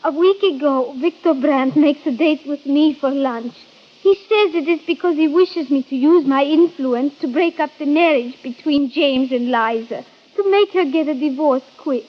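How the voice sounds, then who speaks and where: Actress as young woman, high pitch, defensive, earnest, old radio crime drama, muffled recording. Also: normal speed, close to an everyday voice. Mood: earnest, pleading. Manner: earnest, foreign-phrased speech. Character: defensive young European immigrant woman, murder suspect.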